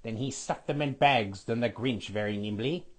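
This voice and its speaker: A man voicing a scheming skeletal villain lord who reads a storybook aloud with a raspy, sniffly voice, stretching out repeated words. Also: medium pitch, lightly acted. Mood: gloating. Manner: sing-song storybook reading, drawn-out repeated words.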